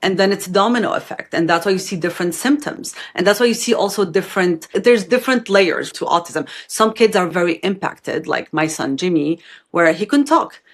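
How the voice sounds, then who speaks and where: A woman in her fifties, from Algeria, giving earnest, matter-of-fact answers as an interview guest. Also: fairly low pitch.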